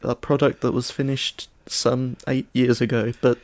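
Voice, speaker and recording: A man co-hosting a podcast in casual conversation, with a measured, dry and hesitant delivery. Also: mid-range voice, level tone, darkish tone, no room sound. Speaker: podcast co-host. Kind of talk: casual chat.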